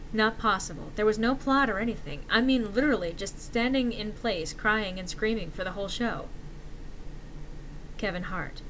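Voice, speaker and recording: A woman giving an expressive, theatrical reading of a horror story written as forum posts. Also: medium pitch, slight background noise, low-quality recording.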